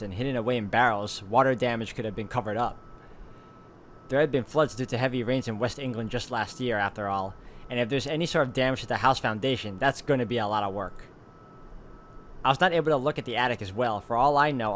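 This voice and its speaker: A man's voice: medium pitch, a bit bright, a little hiss or hum. A man hosting a let's play, reading visual novel narration aloud and dropping in casual asides.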